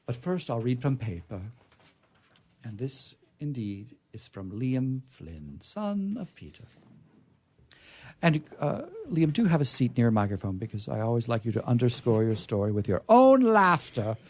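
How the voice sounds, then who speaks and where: A man hosting a radio show, reading stories aloud in a theatrical, deliberate, emphatic way with casual asides. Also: medium pitch, sing-song, darkish tone.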